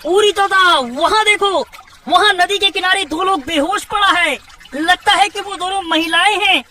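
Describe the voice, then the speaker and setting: A woman's voice: very high voice, normal speed, bright, forceful tone, distant mic, slight background noise. A woman voicing a nervous, nagging younger fisherman brother in a Hindi cartoon, speaking in a rustic, exclaiming way. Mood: worried. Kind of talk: Hindi cartoon moral story acting.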